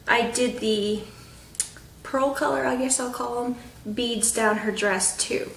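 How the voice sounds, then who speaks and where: A woman's voice: fairly high pitch, steady tone, takes it slowly, gravelly, distant mic, slight background noise. Stuffy-nosed young woman, chatty, echoey room. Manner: chatty, rambling, lots of fillers and restarts. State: stuffy nose. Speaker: craft vlogger. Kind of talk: casual chatty update. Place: at home. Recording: roomy echo, off-mic.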